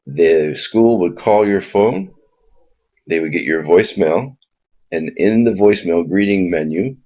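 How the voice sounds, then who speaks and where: A man giving an informal, explanatory software walkthrough in a casual spoken style. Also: sits low, breathy edge. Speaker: software tutorial presenter. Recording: dull lo-fi mic sound.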